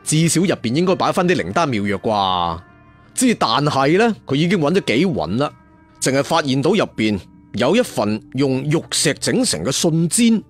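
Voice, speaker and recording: A man's voice: mid-range voice, big pitch swings, moderate pace. A man narrating a fantasy tale in a colloquial, dramatic storyteller style with chatty asides. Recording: music behind the voice. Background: background music.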